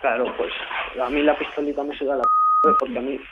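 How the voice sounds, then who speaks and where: A man's voice: mid-range voice, level tone, fairly quick, very noisy. A man with an accent from Spain, arguing bluntly and defiantly on the telephone, with swearing. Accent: Spanish, from Spain. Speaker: prank call victim. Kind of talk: heated phone argument. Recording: dull muffled telephone line.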